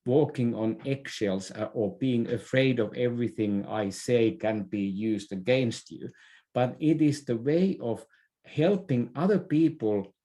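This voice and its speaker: A man with a mellow, slightly low voice explains his ideas in an interview. His delivery is unhurried and halting, with repeated words and self-corrections, in a conversational style. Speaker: interview guest, leadership expert.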